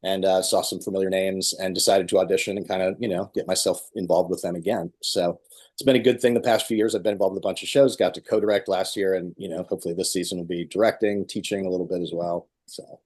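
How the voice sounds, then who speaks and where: A man with a slightly low voice speaks as a guest on a video call. His delivery is casual and conversational. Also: steady tone, fast talker, a little mellow, husky. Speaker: interview guest, stage actor. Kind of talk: casual chat.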